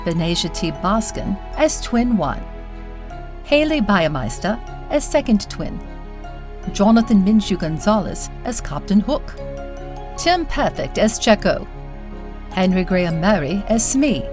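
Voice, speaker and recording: A woman's voice: mid-range voice, very animated, measured pace. A woman reading a scripted credits announcement as an announcer. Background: prominent music.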